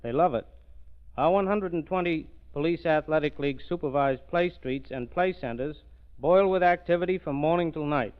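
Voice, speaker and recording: Formal man, smooth and fairly high-pitched. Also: normal speed. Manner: formal, declamatory. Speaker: police commissioner, guest speaker. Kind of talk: scripted 1930s radio interview. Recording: muffled vintage 1930s radio recording.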